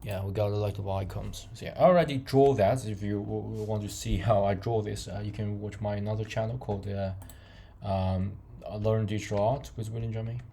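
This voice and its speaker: A man whose first language is not English, hosting a casual coding tutorial, talking haltingly with many fillers and restarts and chuckling often. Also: fairly low pitch, fairly even intonation, creaky, dark tone, slight background noise.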